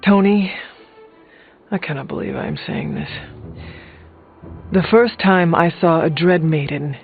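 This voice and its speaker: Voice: mid-range voice, huge pitch swings, a little slow. An actor playing a solemn centuries-old demon hunter in a video game, speaking formally and earnestly. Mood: earnest, dry. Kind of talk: video game character dialogue. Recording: muffled lo-fi game audio, music behind.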